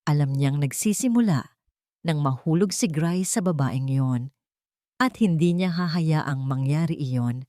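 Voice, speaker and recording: A woman's voice: low voice, a little slow, dark tone, dry recording, studio quality. A woman reading a scripted romance story as its narrator, in a dramatic storytelling style.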